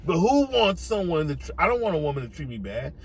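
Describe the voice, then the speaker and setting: Male American online commentator, animated and exhorting, casual slangy monologue with faint noise behind the voice. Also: a little high, quick pace. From United States. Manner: emphatic, exhorting, repeats phrases for effect. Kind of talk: opinionated informal monologue.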